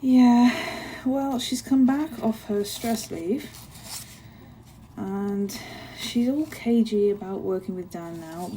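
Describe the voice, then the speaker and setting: Woman playing a friendly giantess coworker, soft mellow voice. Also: mid-range voice, a little hiss or hum, natural voice, low-quality recording. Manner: bubbly, caring, apologetic, hesitant with soft laughs. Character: friendly chatty giantess office worker greeting her tiny coworker. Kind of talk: ASMR roleplay, one-sided conversation.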